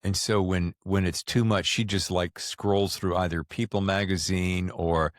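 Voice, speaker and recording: A man hosting a podcast, chatting casually and telling anecdotes in an animated way with acted-out quotes. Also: low voice, measured pace, dry recording, studio quality. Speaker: podcast host. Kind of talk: casual interview chat.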